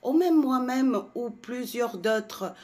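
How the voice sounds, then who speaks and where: Slow, indignant Tunisian woman. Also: a little high, animated, mellow. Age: 40s. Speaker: French teacher. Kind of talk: ranting to camera.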